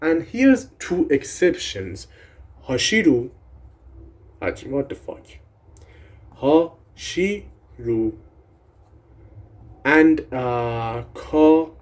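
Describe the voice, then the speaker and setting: A man's voice: mid-range voice, very animated, very slow, long pauses, darkish tone. A young man whose first language is Persian, casually explaining language grammar in a rambling, swearing, self-deprecating way. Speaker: language video creator.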